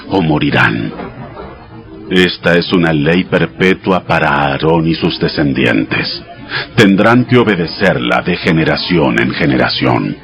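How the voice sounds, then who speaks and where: An actor playing God as a solemn, commanding deity giving sacred laws in a dramatized audio Bible, in a measured manner. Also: male voice, low-pitched, fairly bright tone, exaggerated voice. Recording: voice over clear background music.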